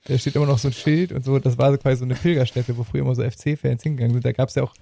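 Creaky, mellow man in casual chat. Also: mid-range voice, fairly even intonation, rapid-fire, a little hiss or hum. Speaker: podcast host.

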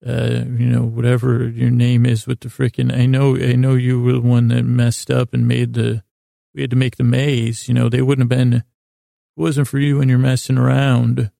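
Super creaky mellow guy doing a sleepy podcast ramble, flat tone, keeps trailing off. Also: medium pitch, no echo, clean studio sound. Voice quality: gravelly, creaky voice. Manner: meandering, halting, trails off mid-thought. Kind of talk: rambling casual bedtime storytelling monologue.

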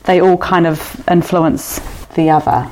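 A woman's voice: deep voice, fairly even intonation, measured pace, warm tone, slight background noise, poor audio. A woman interviewing someone in a casual chat. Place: guest's home, indoors.

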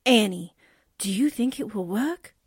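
A woman's voice: medium pitch, lively intonation, unhurried, slightly rough, no room sound, studio quality. An actress playing a quippy, slangy newspaperwoman sidekick in a 1940s-style radio drama. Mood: game, hopeful. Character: wisecracking, game newspaperwoman sidekick, bubbly temper.

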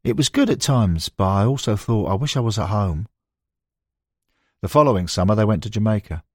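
A man's voice: lowish voice, a little fast, breathy edge, no room sound, studio quality. A man reading scripted narration as an audiobook narrator, moving between prose and quoted recollections.

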